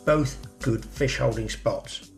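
An older man talks through fishing tips in a practical, chatty way, addressing viewers directly. He is recorded outdoors by a pond on a camera mic, sometimes from a little further off. Music plays clearly underneath. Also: mid-range voice, slow pace. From England. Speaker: fishing show host. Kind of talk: instructional outdoor fishing talk. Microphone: camera mic, some lines from further off.

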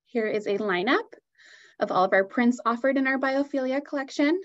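A woman's voice: high-pitched, normal speed. Upbeat woman walking through products on a webinar, kinda muffled call audio. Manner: upbeat, enthusiastic. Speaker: product presenter. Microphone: video call.

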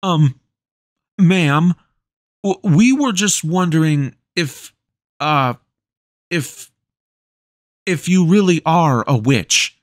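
Brief: scared young boy, stammering, pleading, very high strained voice, done by a man. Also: big pitch swings, normal speed, a bit bright, no room sound, studio quality, exaggerated voice. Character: frightened desperate young boy.

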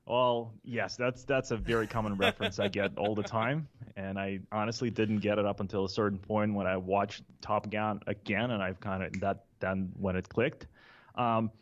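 Russian guy, lowish voice, lots of uh and restarts, kinda muffled call audio. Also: steady tone. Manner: halting, many fillers and restarts. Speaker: guest. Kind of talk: casual interview talk. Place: office conference room with windows. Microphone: studio mic on a boom, headphones.

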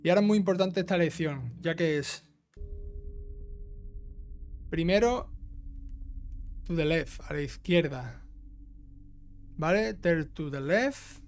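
Higher-voiced man guiding through a game. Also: expressive, takes it slowly, long pauses. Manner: instructional, often checking in with the listener. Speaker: video game guide host. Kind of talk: casual step-by-step gameplay walkthrough commentary. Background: game music.

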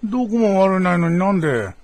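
An actor playing an exasperated middle-aged father in a radio drama, gruff and flustered, with a Kansai accent. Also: sits high, big pitch swings, brisk. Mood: baffled. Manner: gruff, stammering in disbelief, repeating himself.

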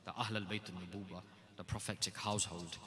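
A man with a low-pitched yet bright, forceful voice gives a devotional address to a gathering. He speaks emphatically and repeats short phrases for weight. His voice rings with the long reverb of a large hall. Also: flat delivery, quick pace. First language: not Urdu. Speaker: speaker introducing scholars at a religious gathering.

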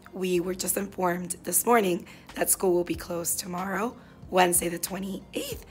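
Hoarse woman vlogging to her phone. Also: lowish voice. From South Florida. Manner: chatty, reflective, easygoing. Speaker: fourth grade teacher. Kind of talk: casual vlog talk to camera. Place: classroom and car, indoors. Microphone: phone held at arm's length.

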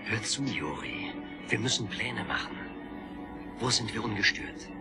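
An actor playing a determined young hero in a radio play, speaking with earnest urgency. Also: male voice, fairly low pitch, sing-song, measured pace. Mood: earnest, urgent. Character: heroic, determined young male warrior program. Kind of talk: dramatic radio play acting. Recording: echoey voice under loud music.

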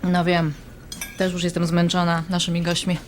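A woman's voice: lowish voice, monotone, normal speed, noisy background, lightly acted. A woman playing a caring, hesitant wife in a naturalistic audio drama, coaxing her husband. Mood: warm, chatty. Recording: faint clinking restaurant background. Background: cutlery and dishes clinking.

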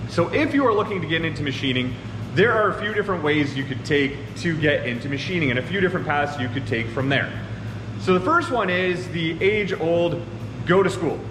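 A man's voice: a little high, animated, a little fast. A man in his thirties from Ontario, Canada, a machine shop owner giving earnest casual advice to camera from his shop floor. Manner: earnest, talks with his hands, addresses viewers as guys. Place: large open machine shop floor, indoors. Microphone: far camera on a tripod. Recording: roomy echoey shop with long reverb and air conditioning hum.

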